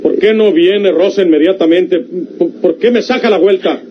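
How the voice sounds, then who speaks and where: An actor playing a rough, passionate outlaw lover in a Mexican radionovela, with a rural northern Mexican accent and an emphatic, insistent delivery. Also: male voice, high voice, normal speed, close to an everyday voice. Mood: impatient. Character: rough, impassioned outlaw man, possessive and tender lover. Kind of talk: golden-age Mexican radionovela acting. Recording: muffled vintage radio recording with hiss.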